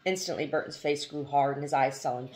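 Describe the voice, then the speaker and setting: A woman's voice: sits low, fast talker, a little mellow. A woman narrating a novel as an audiobook reader, in a literary storytelling style.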